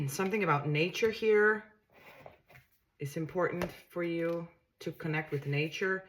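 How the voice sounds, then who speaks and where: A woman's voice: on the low side, slow, a little hiss or hum. A woman with a Danish accent, a tarot reader giving a casual reading to camera, musing aloud and often repeating and restarting her words in a reassuring way.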